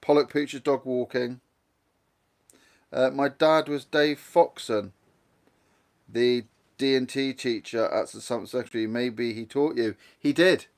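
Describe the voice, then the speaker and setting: A man with a soft Guernsey accent chatting loosely on a live stream. He rambles from story to story and stops to read out viewer comments. His voice sits at an ordinary middle pitch. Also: a little slow, pauses often. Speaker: livestream host. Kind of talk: casual live-stream chat.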